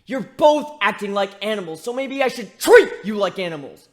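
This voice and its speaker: A man's voice: high-pitched, very animated, moderate pace. A man voicing a young male griffin in a dramatic story reading, hurt and bitter, ranting with sarcastic emphasis. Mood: bitter sarcasm. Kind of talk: dramatic fan fiction reading.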